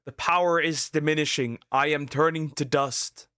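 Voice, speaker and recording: A bright-voiced man in his twenties reacts live to a video, excitable and prone to stammering restarts. He fires off sarcastic little quips in a fairly high voice, and the sound is dry and close. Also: normal speed, clean tone, dry recording. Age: 20s. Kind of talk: casual live reaction commentary.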